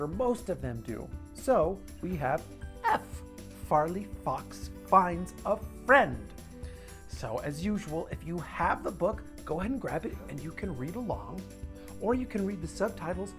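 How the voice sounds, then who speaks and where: Animated, unhurried woman reading a children's story. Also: lowish voice. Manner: playful, animated, addresses listeners directly. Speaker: storytime host and book reader. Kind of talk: expressive children's picture-book read-aloud.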